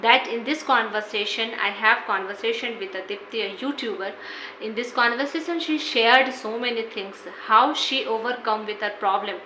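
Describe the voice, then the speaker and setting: A woman's voice: a little high, moderate pace, bright, forceful tone. A woman host opening her channel's video with an upbeat, teacher-like greeting to viewers. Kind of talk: video introduction. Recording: echoey room with faint noise.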